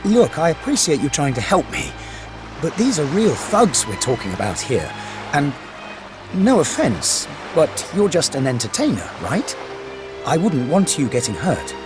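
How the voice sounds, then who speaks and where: A man voicing a glum, worried male blacksmith who speaks slowly and warily, in a video game cutscene. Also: on the high side, wildly swooping pitch. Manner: glum, wary, slow storytelling. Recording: game audio with loud music behind.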